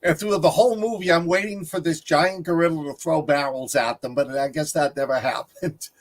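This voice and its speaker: Chatty man with a slightly high voice. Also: fairly quick. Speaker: film review panelist. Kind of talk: casual movie discussion.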